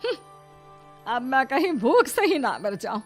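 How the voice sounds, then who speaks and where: A woman's voice: high-pitched, big pitch swings, unhurried, exaggerated voice. A woman voicing a fussy, sickly older mother-in-law who whines and scolds in a cartoon moral story. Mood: scolding. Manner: whining, scolding. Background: faint music.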